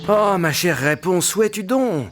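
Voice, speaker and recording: A gallant young cartoon prince, earnest and naive. He speaks slowly and courteously, with theatrical swings in pitch, over clear music. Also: animated. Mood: yearning, calling.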